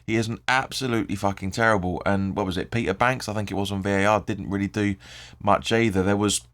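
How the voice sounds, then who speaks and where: A man with a hoarse, croaky voice hosting his own fan channel, rambling through post-match thoughts, correcting himself and swearing freely. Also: lowish voice, fairly even intonation, quick pace, fairly bright tone. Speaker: football fan channel host. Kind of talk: casual post-match video commentary.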